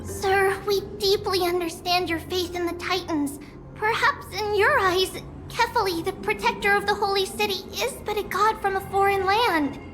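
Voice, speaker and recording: A woman voicing an earnest, polite childlike high priestess girl in a video game, with a formal way of speaking. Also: fairly even intonation, normal speed. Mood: earnest, pleading. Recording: loud game music underneath.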